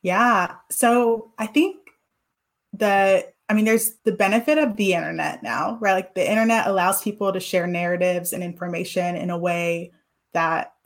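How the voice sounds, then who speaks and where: A woman's voice: mid-range voice, normal speed. A woman in her thirties, a guest in an interview, talking thoughtfully about her past with many fillers. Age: 30s. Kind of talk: reflective interview talk.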